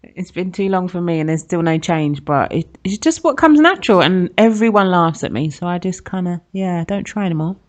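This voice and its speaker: A woman's voice: lowish voice, animated, fairly quick. A woman hosting a radio show, interviewing conversationally with short interjections and questions.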